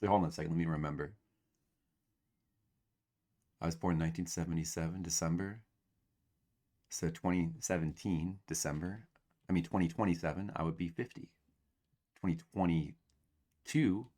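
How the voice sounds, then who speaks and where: A man's voice: deep voice, brisk, pauses often, dark tone. A man in his forties, a game streamer, chatting casually and ramblingly to his viewers at home, with self-mocking humor. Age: 40s.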